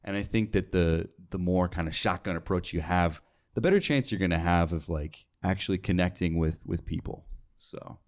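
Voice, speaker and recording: A man's voice: deep voice, moderate pace, a little mellow. A young American man talking casually as a guest in an interview. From United States, North Dakota. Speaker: interview guest, radio sales associate.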